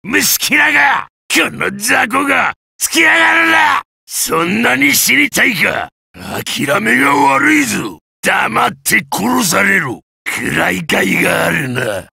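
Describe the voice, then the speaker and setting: Brutal giant monster warrior, video game battle lines, raspy roar, wildly swinging pitch, bullying, menacing. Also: male voice, very animated, takes it slowly, pushed and bright, dry recording, shouting, clean studio sound, exaggerated voice. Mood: furious. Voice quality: gravelly, rough roar. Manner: coarse, taunting, menacing.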